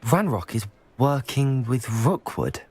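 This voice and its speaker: A man's voice: mid-range voice, very animated, slow, darkish tone. A man playing a polite, earnest teenage wizard student in a video game, reserved. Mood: curious. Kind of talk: video game character acting.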